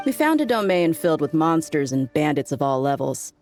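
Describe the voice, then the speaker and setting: A woman's voice: sits low, big pitch swings, brisk, darkish tone, soft background music. A woman playing a breezy, cocky desert mercenary in a video game, talking in a casual, teasing way. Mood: easygoing, confident.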